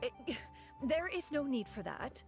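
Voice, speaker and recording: A woman's voice: high voice, very animated, exaggerated voice. A woman playing an aloof, dignified sage in a video game, speaking formally and haughtily, with music behind. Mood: proud, guarded. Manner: formal, haughty, measured wording. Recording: music under the voice.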